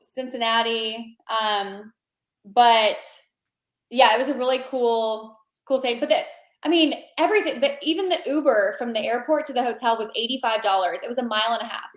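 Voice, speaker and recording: A woman's voice: fairly high pitch, moderate pace, reverberant. A woman guest chatting casually on a podcast, rambling with fillers and restarts.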